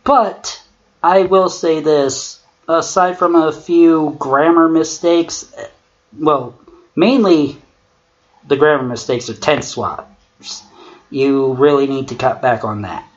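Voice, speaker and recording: A man's voice: fairly high pitch, slow. A man giving critical commentary on amateur horror fiction in a sarcastic, profane way. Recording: muffled lo-fi mic with clear noise.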